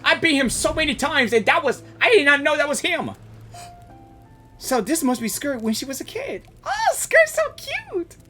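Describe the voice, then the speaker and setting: A woman giving casual live reaction commentary as a gaming streamer, halting and slangy, often repeating herself. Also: high-pitched, very animated, a bit bright, faint music behind.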